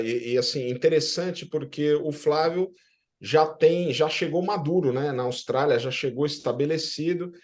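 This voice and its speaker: A man with a Brazilian Portuguese accent co-hosting a casual interview, chatting and putting questions. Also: a little high. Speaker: podcast co-host.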